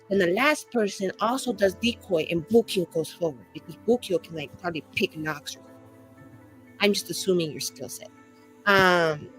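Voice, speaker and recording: A woman's voice: medium pitch, rough recording. A woman playing a tabletop roleplaying game, planning casually in informal, slangy speech. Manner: informal, slangy, frequent use of like.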